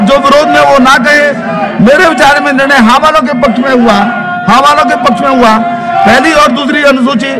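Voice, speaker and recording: High-voiced man over loud uproar. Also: rough recording. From India. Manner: formulaic, repetitive, drawn-out calls. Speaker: chair of parliament session. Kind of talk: formal procedural reading. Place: large indoor legislative chamber. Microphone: desk microphone. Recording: loud shouting crowd in chamber.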